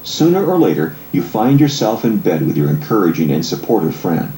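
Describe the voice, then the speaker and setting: A man reading scripted audiobook narration in a measured, articulate, explanatory way. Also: low voice, sing-song, mellow, reverberant, slight background noise, low-quality recording.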